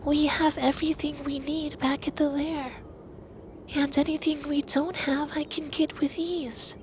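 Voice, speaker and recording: Man acting as ancient male dragon, high androgynous voice, level intonation, formal deliberate phrasing, stern but caring, intimate ASMR roleplay. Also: flat delivery. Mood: concerned, reproachful. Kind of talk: ASMR roleplay, intimate scripted monologue addressed to listener. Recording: clear background noise with ambience.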